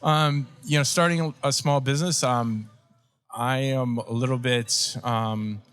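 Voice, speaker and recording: A man's voice: mid-range voice, a little slow, clean tone. A man being interviewed on a radio show, talking casually with lots of "um"s and easy laughs. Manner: relaxed, with many fillers and frequent laughs. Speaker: interview guest.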